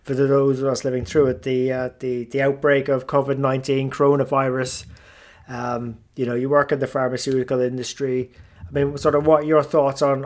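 A man's voice: medium pitch, a little mellow. A man hosting a podcast, introducing the episode and interviewing his guest in a friendly way with some fillers. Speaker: podcast host.

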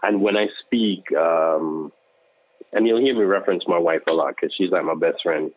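A deep-voiced man talks in an earnest, self-assured way, with lots of fillers. He comes through a tinny, phone-like line. The sound is dry, with no room echo. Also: moderate pace. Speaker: guest, social worker. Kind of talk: casual podcast chat.

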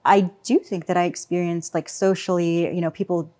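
A woman with a slightly low voice talks through her thoughts in a casual panel discussion. She is reflective and searches for words, leaning on frequent fillers as she goes. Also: fairly even intonation, normal speed, warm tone. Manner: reflective, many fillers like "you know" and "like". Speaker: panel guest.

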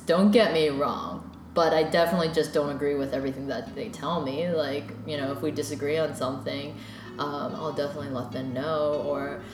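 Low-voiced chatty young woman, echoey room. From United States. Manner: chatty, filler-heavy, animated when quoting parents. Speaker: interviewee. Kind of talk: casual conversation. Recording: echoey room with background noise.

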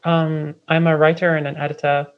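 A guest from London talks through ideas in a meandering way, full of fillers and small laughs. The voice sits at an ordinary middle pitch. The sound is a bit dull and lo-fi, like a remote call. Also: little pitch movement, fairly quick, a little hiss or hum. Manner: meandering, lots of "um" and "like", light laughs. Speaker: guest writer and editor. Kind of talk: casual remote interview explanation.

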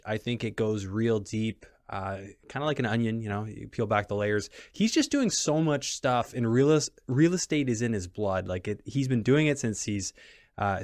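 A man's voice: lowish voice, dry recording, clean studio sound. A man hosting a podcast in a casual, conversational style. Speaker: podcast host.

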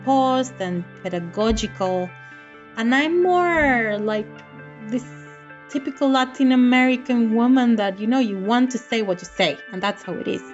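A woman's voice: mid-range voice, highs cut off. A middle-aged woman from Costa Rica, a curator, speaking passionately and frankly in an interview. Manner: passionate, outspoken. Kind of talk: interview talk.

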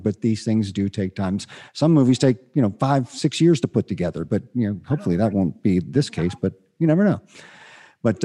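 A man's voice: medium pitch, warm tone. A man hosting a talk show, casual and easygoing. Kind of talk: casual chat.

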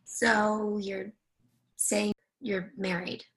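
A woman's voice: mid-range voice, little pitch movement, very slow, fairly bright tone. A woman playing a seductive, controlling married woman in a screenplay read, teasing and commanding by turns. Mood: wry, probing.

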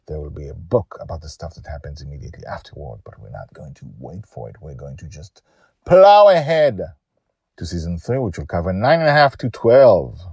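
A man with a very deep voice narrates a story as its host. His delivery is emphatic and theatrical, swinging widely in pitch, with certain words stretched out. The sound is dry. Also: big pitch swings, fairly quick, a little mellow, breathy edge, no echo. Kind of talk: dramatic scripted storytelling with host asides.

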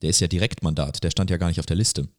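A man's voice: deep voice, fairly even intonation, rapid-fire, no echo, clean studio sound. A man co-hosting a podcast in a casual, chatty way. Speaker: podcast co-host.

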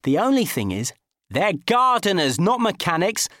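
A man's voice: high voice, wildly swooping pitch, moderate pace, a bit bright, no room sound, studio quality, pitched above the performer's own voice, full character voice. A man playing an exasperated, sarcastic divorced man who nags and scolds emphatically in comic audiobook dialogue.

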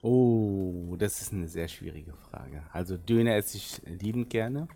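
A middle-aged man with a notably low, deep voice, talking casually in a podcast interview. He grew up in Germany, though Arabic is his first language. He takes his time and thinks through each answer. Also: unhurried, gravelly, mellow, slight background noise. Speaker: sporting director of a football club.

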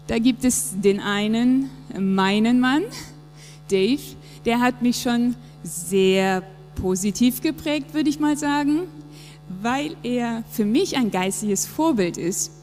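A woman's voice: mid-range voice, mellow. A woman in her thirties, from Japan, speaking reflectively and earnestly in a church service interview, with some fillers. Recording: long reverb with faint music behind.

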